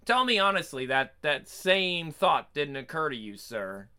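A man's voice: on the high side, sing-song, unhurried, pushed and bright, clear, resonant tone, full character voice. A man voicing a level-headed, polite detective sidekick, formal and deferential, in a comic book reading. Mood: earnest, insistent.

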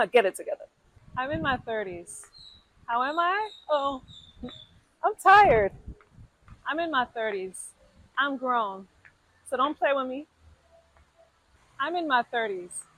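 A woman's voice: high-pitched, animated, slow, long pauses, fairly bright tone, poor audio. A self-assured woman in her thirties, talking in a sassy social media skit. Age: 30s.

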